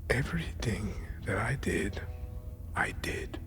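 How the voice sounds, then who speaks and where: An actor playing a cold, ailing middle-aged dictator in a game, with a Latin American Spanish accent, measured and commanding, sometimes sinking to a breathy murmur. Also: male voice, lowish voice, animated, whispered. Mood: intimate, grave. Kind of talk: dramatic video game acting. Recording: loud music behind.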